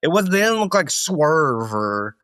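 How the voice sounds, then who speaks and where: A man chats on a podcast in a playful, teasing way with casual swearing. His voice sits a little high and moves around a lot. The recording is close and dry. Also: sing-song, unhurried, a bit bright, no room sound. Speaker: podcast co-host. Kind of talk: casual chat.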